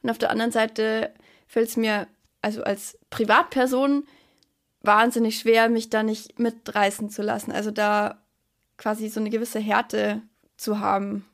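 A woman with a rather high voice talks easily on a podcast, thoughtful at times and quick to laugh. Her speech carries the polish of a trained performer. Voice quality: trained actor's voice. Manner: relaxed, reflective, laughs easily. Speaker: podcast co-host and singer. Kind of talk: casual podcast chat.

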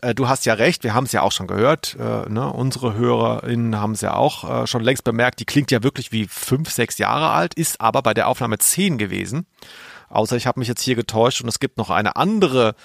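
Gravelly-voiced man chatting on a podcast. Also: medium pitch, fairly bright tone, no echo, studio quality. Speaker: podcast co-host. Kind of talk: casual chat about audio dramas.

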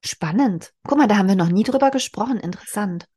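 A woman's voice: mid-range voice, expressive, quick pace, warm tone, breathy edge. A woman in her forties hosting a book podcast, explaining casually as she talks with a co-host and thinks aloud. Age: 40s. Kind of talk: casual book discussion between co-hosts.

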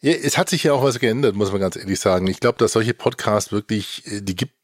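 Low guy hosting a chatty podcast, keeps cutting himself off, jokey, super dry close sound. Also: no echo. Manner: halting, self-interrupting, jokey banter. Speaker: podcast host. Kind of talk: casual podcast chat.

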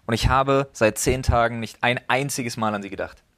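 Man, thirties, podcast co-host, casual and rambling, average pitch. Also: normal speed, fairly bright tone. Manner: rambling, reflective, many fillers. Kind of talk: casual chat.

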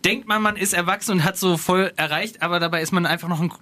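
A man in his early thirties co-hosting a casual podcast. He explains his point calmly, one step after another. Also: sits high, quick pace. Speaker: radio podcast co-host. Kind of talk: casual chat among radio hosts.

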